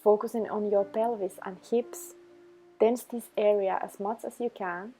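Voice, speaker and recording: A woman leading a guided meditation, giving instructions in slow, measured phrases. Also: medium pitch, steady tone, mellow. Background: background music.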